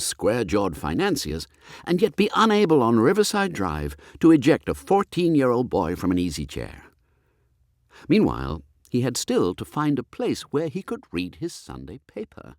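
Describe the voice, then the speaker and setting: Very animated male audiobook narrator. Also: mid-range voice, moderate pace, no echo, clean studio sound. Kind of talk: expressive scripted narration of a comic novel.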